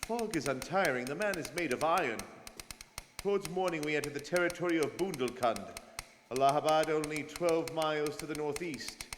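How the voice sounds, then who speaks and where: A slightly noisy recording in a reverberant hall, with a man playing a grave detective narrating a radio play. His voice is fairly high, and he speaks formally, building suspense. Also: moderate pace, close to an everyday voice. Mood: grave narration. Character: grave, watchful detective recounting a journey. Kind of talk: dramatic scripted radio narration. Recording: reverberant hall with faint noise.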